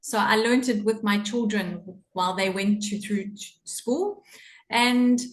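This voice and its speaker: A woman's voice: mid-range voice, unhurried. Echoey middle-aged South African woman. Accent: South African English. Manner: warm, earnest, laughs often. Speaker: podcast guest. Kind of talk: personal storytelling in an interview.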